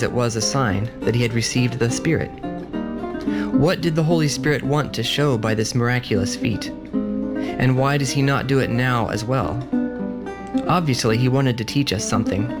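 Calm man reading a sermon over loud guitar. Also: medium pitch. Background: guitar music.

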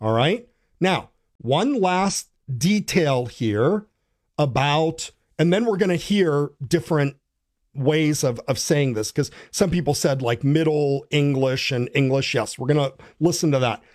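A man's voice: a little high, a little slow, darkish tone. A man hosting a talk, speaking earnestly and urging his listeners, with frequent restarts and fillers. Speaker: host. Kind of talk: talk on church language.

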